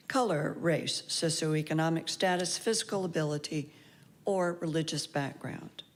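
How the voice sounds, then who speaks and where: Measured woman leading a church welcome. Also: low voice. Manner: measured, formal, reads announcements. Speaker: worship service leader. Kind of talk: congregational welcome and liturgy. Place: congregation sanctuary.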